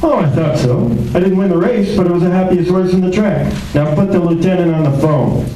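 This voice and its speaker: A man acts out a breezy, wisecracking private eye from a radio detective play. He is casual and wry, both in dialogue and narration. The sound is very roomy and distant, with clear background noise. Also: high-pitched, fairly quick, dark tone, lightly acted. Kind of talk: old-time radio detective drama, acting and narrating.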